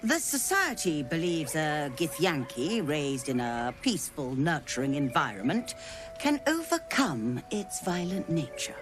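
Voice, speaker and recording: An actress voicing a haughty, scheming merchant woman who talks theatrically and flatters to get her way. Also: low voice, a little slow, over music. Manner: theatrical, wheedling, affected.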